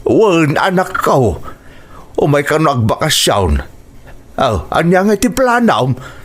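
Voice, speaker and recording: Slow, lilting Ilocano father. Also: fairly high pitch, big pitch swings, full character voice. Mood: gentle, informative. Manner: gentle, coaxing, fatherly. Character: caring older father encouraging his grown son. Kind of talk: Ilocano radio drama acting.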